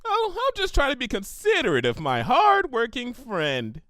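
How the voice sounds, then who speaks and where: A man voicing a bubbly, silly young female cartoon pony, chirpy and excitable, in a story reading. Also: extremely high pitch, normal speed, no room sound, studio quality, voice pushed up, full character voice. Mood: cheerful, eager.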